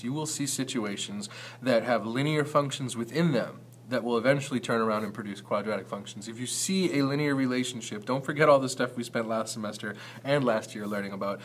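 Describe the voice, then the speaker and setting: A man's voice: fairly low pitch, slight background noise. A man giving an informal, explanatory math lesson as a teacher, talking conversationally with some hesitant self-corrections.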